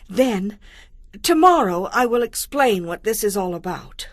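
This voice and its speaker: A woman's voice: mid-range voice, very animated, measured pace, no echo, studio quality. An actress playing a regal, earnest young queen in a dramatized audio Bible, speaking formally and dramatically. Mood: gracious, pleading.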